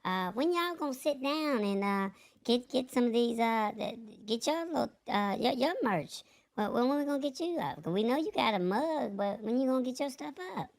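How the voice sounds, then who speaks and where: A woman's voice: on the high side, lively intonation, normal speed. A woman hosting a live show in a casual, chatty style. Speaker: show host.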